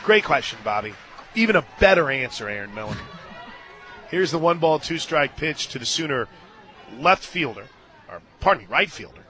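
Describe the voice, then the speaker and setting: A man calling softball play-by-play on the radio, chatting casually between pitches and lifting with excitement on big plays. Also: moderate pace. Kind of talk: live softball commentary with casual booth chat. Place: stadium radio booth.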